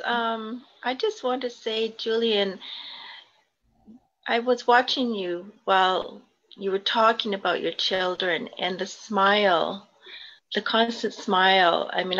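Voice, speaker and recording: A woman's voice: medium pitch, fairly bright tone. A woman sharing personal thoughts casually as a participant in a group video call. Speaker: participant in an online group conversation. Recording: dull lo-fi video call audio.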